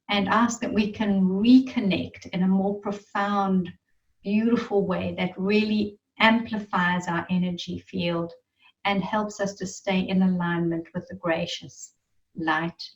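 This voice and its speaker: A woman leading a guided meditation in a soothing, reverent manner, leaving long pauses between phrases. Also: fairly low pitch. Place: online group gathering. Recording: muffled, roomy, distant online-call sound.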